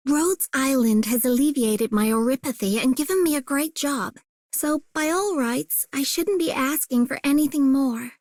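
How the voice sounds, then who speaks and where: High earnest young game girl, done by a voice actress, eager, dry close studio sound. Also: moderate pace, a little mellow, no echo, close to an everyday voice. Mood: shy, earnest. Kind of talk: scripted game character voice lines.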